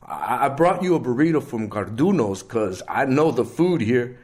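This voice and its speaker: A man voicing a loyal teenage friend who speaks nervously in halting chunks. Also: mid-range voice, very animated, darkish tone, lightly acted.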